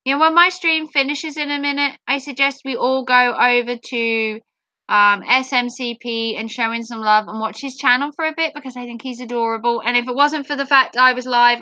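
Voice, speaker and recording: A woman livestreamer chatting playfully with her viewers, her voice going a little hoarse after hours of talking. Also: fairly high pitch, pushed and bright, no echo. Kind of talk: casual chat with viewers.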